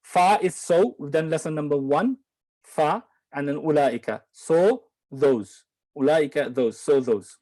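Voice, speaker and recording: A man from Bangladesh answering questions in an online Quran lesson, patient and explaining slowly with repeats and apologies. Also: fairly high pitch, warm tone, low-quality recording. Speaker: Quran teacher.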